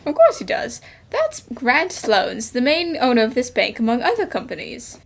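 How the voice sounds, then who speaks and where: A woman voicing a cheerful, confident young female detective in an animated fan dub, speaking in a perky, polite and breezy way. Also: fairly high pitch, normal speed, creaky, heavily acted. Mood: bright, composed. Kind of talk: amateur English fan dub of animated game dialogue.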